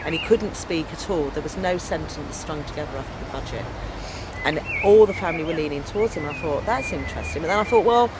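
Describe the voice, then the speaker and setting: English woman, big strong voice, chatty storyteller, clear traffic noise outside. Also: deep voice, quick pace. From England. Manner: loud, strong voice with lively storytelling. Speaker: interviewee, activist and storyteller. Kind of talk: casual outdoor interview.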